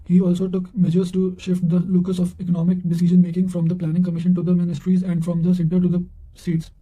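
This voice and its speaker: A man with a high-pitched voice and a very dark, soft-edged tone reads study material aloud. His intonation stays flat, and he reads haltingly, tripping over words and starting them again. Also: rough recording. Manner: halting reading with stumbles and repeated words. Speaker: narrator reading quotations for exam revision. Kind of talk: read-aloud of quotations and study notes.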